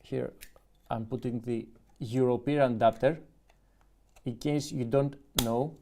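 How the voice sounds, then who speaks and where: A man from Greece with a Greek accent, chatting casually through a live-stream unboxing and reading the manual aloud with halting restarts. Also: medium pitch. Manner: relaxed, halting, restarts and self-corrections while reading. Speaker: music gear reviewer.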